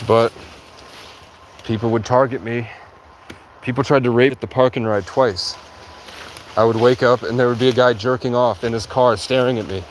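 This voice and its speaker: A sleep-deprived man livestreaming outdoors in a rural area, rambling in a halting way with many restarts. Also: medium pitch, fairly even intonation, slight background noise, low-quality recording. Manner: halting, restarts often, heavy use of "like". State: sleep-deprived after hours of talking. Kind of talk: rambling casual monologue.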